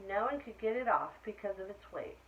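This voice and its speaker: Female children's story reader, mid-pitched, simple explanatory asides to kids, muffled low-fidelity recording with slight noise. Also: animated, fairly quick, a bit bright. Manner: explains simply to young listeners. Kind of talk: read-aloud picture book narration with asides to children. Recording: dull lo-fi sound with faint noise.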